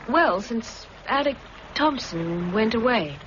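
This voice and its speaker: An actress playing Mary, a bright, teasing young woman on a Scottish island, in a 1960s radio drama. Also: on the high side, slow. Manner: lively, playful, laughs easily. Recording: dull lo-fi archive radio recording, faint hiss.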